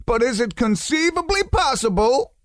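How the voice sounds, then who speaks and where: A brash American talking foot for a comedy radio play. The voice sits high and leaps around animatedly, full of cocky streetwise slang and loud bursts. It is recorded very dry. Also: male voice, big pitch swings, moderate pace, no echo, full character voice.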